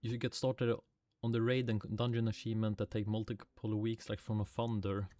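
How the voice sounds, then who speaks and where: A man's voice: on the low side, flat delivery, fairly quick, warm tone. A man from Sweden with a Swedish accent, chatting casually on a game livestream, muttering to himself, swearing lightly and answering chat. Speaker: streamer. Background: faint music and keyboard typing.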